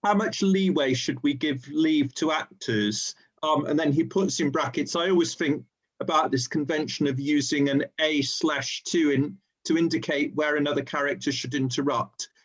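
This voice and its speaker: A man's voice: fairly high pitch, normal speed. A man hosting an informal webinar and relaying questions from the audience, heard over a dull, lo-fi online call.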